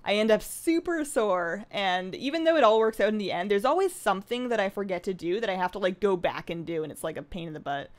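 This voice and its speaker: A woman's voice: medium pitch, expressive, fast talker, hardly any pauses. Bubbly young woman streamer chatting casually. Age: 20s. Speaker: game streamer. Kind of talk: casual live stream chat while gaming.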